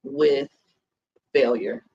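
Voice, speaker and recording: A man's voice: very slow, dark tone, dull audio. A man in his thirties hosting a podcast, talking in a reflective, earnest way and often checking in with "you know what I mean?". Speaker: podcast host. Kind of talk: casual chat.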